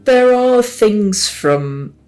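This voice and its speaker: A woman in her forties from Italy, speaking English with an Italian accent in a reflective, halting personal monologue with many pauses and restarts. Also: mid-range voice, animated, darkish tone, soft background music. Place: lives in Brighton.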